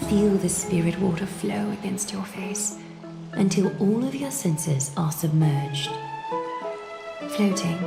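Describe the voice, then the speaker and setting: A woman voicing a regal, serene female leader in a video game, speaking formally with measured, commanding poise and a quiet menace. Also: medium pitch, vocal fry. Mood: soothing, guiding. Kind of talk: scripted video game voice acting. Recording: echoey with loud music behind. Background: loud music.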